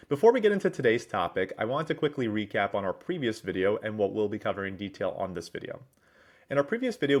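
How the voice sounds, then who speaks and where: A man with a fairly low-pitched voice walks through a piece of software on a screen share. He speaks as a presenter, explaining each step in a businesslike, wordy manner. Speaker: presenter, managing director at a software consultancy.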